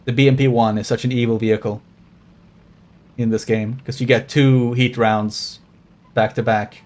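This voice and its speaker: A man's voice: mid-range voice, little pitch movement, moderate pace, a little mellow. A Swedish man with a general American accent, a game streamer casually rambling and swearing while chatting with viewers. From Sweden. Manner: rambling, swears freely, talks to chat while playing. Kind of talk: casual live gaming commentary.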